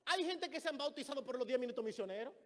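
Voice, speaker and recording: A man's voice: extremely high pitch, lively intonation, fast, fairly bright tone. A man with a Dominican Spanish accent preaching a sermon in a church, telling stories and acting out the people he quotes. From Dominican Republic. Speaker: pastor. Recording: long reverb of a church hall.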